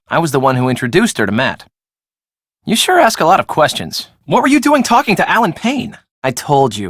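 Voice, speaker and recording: A woman's voice: extremely low pitch, dry recording, clean studio sound, heavily acted. An actress playing a breezy, sarcastic young woman in a video game, talking in a casual, slangy way. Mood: defensive. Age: young adult. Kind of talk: video game dialogue acting.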